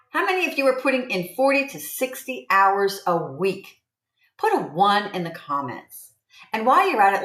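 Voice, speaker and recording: A woman's voice: medium pitch, sharp tone. A woman in her seventies talking casually to livestream viewers in a chatty, rambling way, repeating key phrases. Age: 70s. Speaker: livestream host promoting online income. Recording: roomy, echoey sound.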